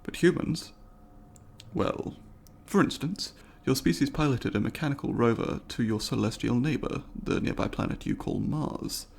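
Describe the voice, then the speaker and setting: A man voicing a curious, courteous alien researcher in an ASMR roleplay, speaking formally and deliberately, with academic wording and a gravelly voice. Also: mid-range voice, animated, darkish tone. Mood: intrigued, questioning. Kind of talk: ASMR roleplay, scripted monologue to the listener.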